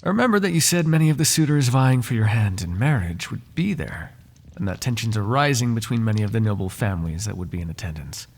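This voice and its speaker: A man's voice: fairly low pitch, lively intonation, fast talker, vocal fry, husky, heavily acted. A man playing a devoted, flirtatious butler in an intimate ASMR roleplay, speaking in formal, genteel phrases with playful teasing. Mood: curious, gossipy. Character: devoted, flirtatious, gossip-loving male butler to a noble.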